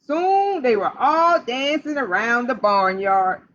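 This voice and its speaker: A woman's voice: fairly high pitch, slow pace. A woman hosting a children's storytime, reading aloud in a playful way and putting questions straight to young listeners. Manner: talks directly to child listeners, asking questions; animated, playful. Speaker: library storytime host. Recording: dull lo-fi livestream audio.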